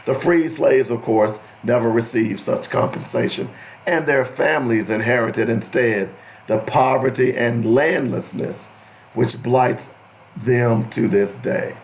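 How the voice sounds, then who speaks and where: A man hosting an opinionated radio talk show, deliberate and emphatic, with a strained, cracking voice that is giving out. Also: mid-range voice, slight background noise, poor audio. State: losing his voice. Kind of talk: opinionated radio talk, partly reading aloud.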